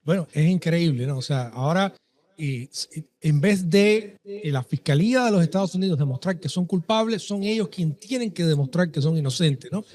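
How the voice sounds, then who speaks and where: A man from Cuba, speaking softly and thoughtfully as a panelist in a political debate. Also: fairly high pitch, moderate pace.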